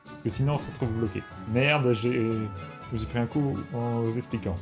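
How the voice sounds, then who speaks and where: A man's voice: mid-range voice, moderate pace, music in the background. A man giving casual gameplay commentary in an informal, slangy way, explaining as he plays. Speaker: gamer narrating a playthrough.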